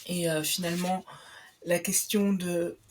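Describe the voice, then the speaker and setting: A woman's voice: lowish voice, little pitch movement, a little slow, a little hiss or hum. A young woman on a radio book show, talking about a book with many fillers and restarts. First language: not Italian. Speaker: guest presenting a book. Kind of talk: live radio book chat.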